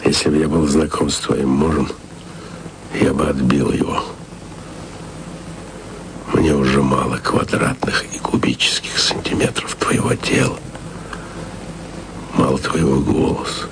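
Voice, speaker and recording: A very deep, gravelly voice murmurs to a faraway woman like a lovesick radio drama lead. The delivery is slow and intimate, often dropping to a whisper. Steady hiss sits under the whole recording. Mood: tender.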